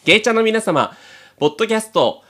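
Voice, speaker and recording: ポッドキャストのホストの男性が、丁寧で遊び心のある口調で雑談しながらお便りを読み上げている。. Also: male voice, high voice, lively intonation, fast, fairly bright tone. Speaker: podcast host reading listener letters. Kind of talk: chatty banter and reading letters aloud.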